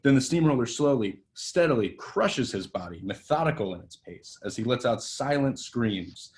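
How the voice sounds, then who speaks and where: A man's voice: mid-range voice, lively intonation, moderate pace, natural voice. An actor reading a volatile, washed-up young male TV star in a table read, profane, ranting and bitter.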